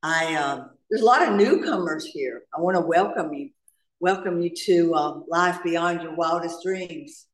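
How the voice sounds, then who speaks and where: A woman's voice: fairly low pitch, measured pace, far from the mic. Woman speaking in an echoey hall. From North Carolina. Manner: humorous storytelling, laughs often. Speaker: AA meeting speaker. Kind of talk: personal recovery story. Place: church meeting hall.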